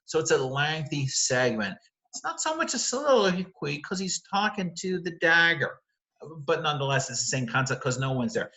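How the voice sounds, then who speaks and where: Bright-voiced man explaining a play. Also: a little high, sing-song, normal speed, roomy sound. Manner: explanatory, restates and corrects himself. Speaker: literature teacher. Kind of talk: lecture on a play, walking through the text.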